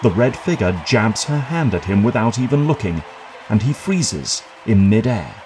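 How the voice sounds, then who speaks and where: A man reading scripted dramatic narration for an audio drama in a measured, suspenseful manner. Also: lowish voice, big pitch swings, darkish tone, slight background noise, poor audio.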